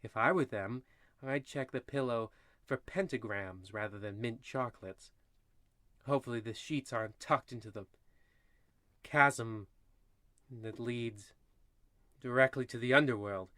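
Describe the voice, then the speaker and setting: A man's voice: mid-range voice, unhurried, pauses often, bright, forceful tone, no room sound. Man as a wry spooky radio horror host. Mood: wry, ominous. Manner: theatrical, tongue-in-cheek. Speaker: narrator host of radio play. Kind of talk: parody old-time radio horror narration.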